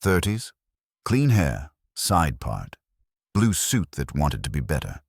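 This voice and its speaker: Guy playing a smart-mouth detective sidekick in an audiobook, low husky voice, sardonic. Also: very animated, takes it slowly, no room sound, clean studio sound, exaggerated voice. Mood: wry, observant. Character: wisecracking, streetwise male detective's assistant. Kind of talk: acted dialogue in a detective audiobook.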